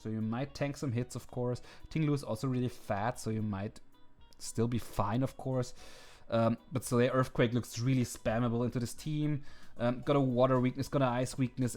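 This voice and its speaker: A man hosting a video, talking casually with many fillers and restarts, his voice slightly hoarse. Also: mid-range voice, darkish tone. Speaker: video host ranking teams. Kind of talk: casual commentary. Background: faint background music.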